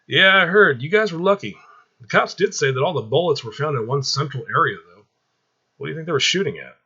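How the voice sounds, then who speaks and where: A man's voice: fairly high pitch, lively intonation, brisk, sharp tone, husky, dull audio, voice pushed up, full character voice. A man voicing a friendly, easygoing young soldier who talks in slang, in a story read aloud. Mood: curious, friendly.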